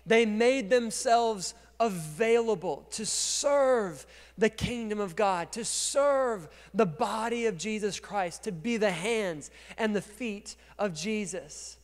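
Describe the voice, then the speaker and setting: A man in his thirties preaching a sermon as pastor on a church stage, speaking into a handheld mic and swinging from quiet to loud. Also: sits high, expressive, takes it slowly. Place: large dark church stage. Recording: long reverb of a large church hall.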